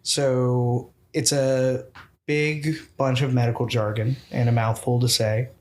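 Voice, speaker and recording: A man's voice: medium pitch, flat delivery, takes it slowly. Earnest young man from Tennessee. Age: young adult. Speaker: guest, program founder. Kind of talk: interview talk.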